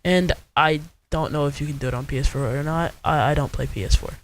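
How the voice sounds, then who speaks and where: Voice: mid-range voice, fast, slight background noise. Casual young tutorial host, mid-range voice. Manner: informal, step-by-step instructing. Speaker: video host.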